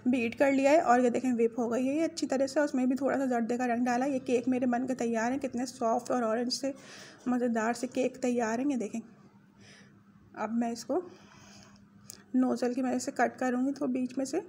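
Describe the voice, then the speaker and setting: Chatty woman with a higher voice. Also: dark tone, a little hiss or hum. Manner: chatty, self-correcting on measurements. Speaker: home cooking channel host. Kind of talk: casual recipe walkthrough. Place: home kitchen.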